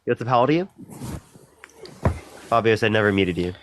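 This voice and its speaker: A man's voice: on the low side, lively intonation, a little fast, noisy background. A man hosting a live stream, talking casually and thanking viewers over and over between debates with callers. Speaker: livestream host.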